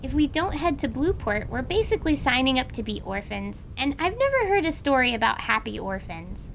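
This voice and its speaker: Curious young girl adventurer for fantasy radio theatre, played by a woman: very high, gravelly edge, blunt and colloquial. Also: very animated, fairly quick, a bit bright, a little hiss or hum, full character voice. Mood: blunt, confident. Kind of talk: dramatized tabletop role-playing audio drama.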